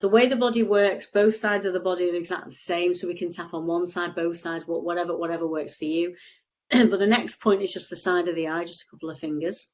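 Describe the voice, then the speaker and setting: A woman's voice: on the low side, fairly even intonation, fairly quick, highs cut off, a little hiss or hum. A woman with a cold and a stuffy nose, explaining tapping casually on a video call, rambling with frequent restarts. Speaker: EFT tapping practitioner, guest.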